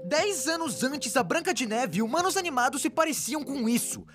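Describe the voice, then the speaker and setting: An excitable cartoon explainer narrator with a Brazilian accent, performed by a woman. The voice sits high and moves in lively swoops, with faint music underneath. The delivery is scripted yet informal, wry and exaggerated. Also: moderate pace. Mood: wry, enthusiastic. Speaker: narrator of an animated explainer video.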